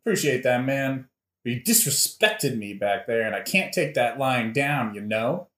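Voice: medium pitch, very animated, normal speed, fairly bright tone, roomy sound. A performer playing an aggrieved, macho young duelist in a modern comic audioplay, slangy and blustering.

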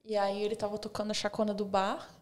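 A woman's voice: mid-range voice, monotone, fast talker, a little mellow. A young woman from Brazil, a violinist guest, telling stories in casual chat with many fillers. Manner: chatty, storytelling, frequent "tipo" and "sabe".

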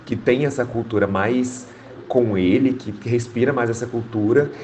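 A man's voice: mid-range voice, normal speed, darkish tone. A man from Minas Gerais, Brazil, politely and earnestly asking a question in a recorded voice message. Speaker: listener sending a voice message.

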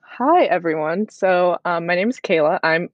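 A young woman from Chicago, a guest speaking in a measured, thoughtful way with pauses. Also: on the low side, expressive, no echo, muffled recording. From Chicago, United States. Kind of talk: casual chat.

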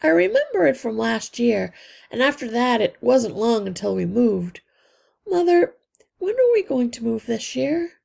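A thoughtful young mouse boy from a children's storybook, played by a woman reader. He explains himself earnestly and calmly, slightly raised in pitch, with slow pacing and a gravelly creak. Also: exaggerated voice. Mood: serious, calm. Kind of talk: children's storybook read-aloud.

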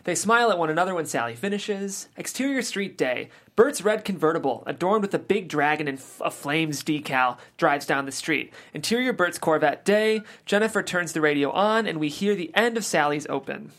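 Low-voiced woman reading stage directions. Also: animated, moderate pace, hardly any pauses, a bit bright. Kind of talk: reading script action lines.

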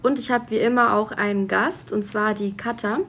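A woman's voice: medium pitch, steady tone, normal speed, fairly bright tone, slight background noise. A young woman hosting a casual interview podcast from a guest's home, friendly, with many fillers and affirming hums. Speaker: podcast host. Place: guest's home in a city flat.

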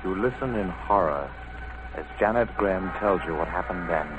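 An actor playing an ominous narrator, grave and dramatic in old-time radio style, addressing the listener. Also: male voice, low voice, normal speed, background noise, close to an everyday voice. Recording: tinny vintage radio recording. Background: music.

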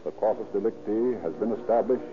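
A man narrating a scripted old-time radio crime drama in a formal, dramatic, measured manner. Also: on the low side, over music. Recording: tinny old radio recording.

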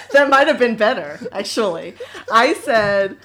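A woman co-hosting a casual talk show, telling a story with lively, laughing energy. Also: a little high, slow, a crowd in the background.

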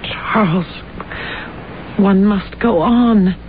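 An actress playing a long-suffering, weary wife, speaking in an earnest, emotional, theatrical old-time radio drama manner. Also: female voice, medium pitch, drawn-out words. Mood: exasperated, hurt. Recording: dull, noisy old radio recording.

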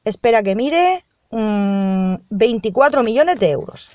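A woman from Spain playing a formal, businesslike lawyer in a radio soap, speaking in a measured way. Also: on the high side, expressive, darkish tone, dry recording, highs cut off. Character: formal, businesslike female lawyer reading a will. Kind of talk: amateur radio soap acting.

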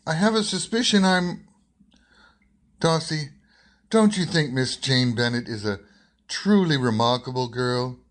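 A man playing an eager, amiable young gentleman in a radio drama. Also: medium pitch, a little slow, pauses often, close to an everyday voice. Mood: bashful, curious. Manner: eager, animated. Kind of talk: old-fashioned radio drama acting.

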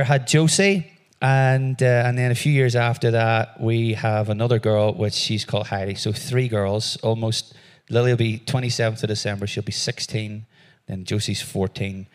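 A man in his forties with a Northern Irish accent, talking quickly and jokily about his life in a church interview. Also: fairly low pitch. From Northern Ireland. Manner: fast-talking, animated and jokey. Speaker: visiting worship musician.

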